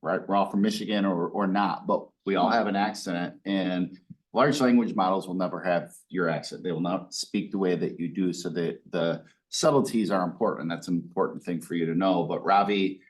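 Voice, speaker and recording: A man with a very deep voice speaks on a discussion panel. He talks in a playful way and teases a fellow panelist about his writing. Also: moderate pace. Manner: playful, teasing. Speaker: panel expert.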